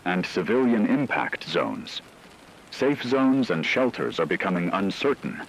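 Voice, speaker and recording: A man playing a grave radio news anchor, delivering a scripted emergency war broadcast in a measured, solemn, analytical manner. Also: lowish voice, gravelly, a bit bright, a little hiss or hum, heavily acted, rough recording.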